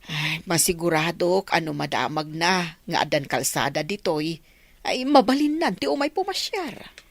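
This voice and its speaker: An actress with a Filipino accent playing a helpful, hopeful village companion in a radio comedy drama. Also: female voice, on the low side, lively intonation, moderate pace, dry recording. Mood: reassuring.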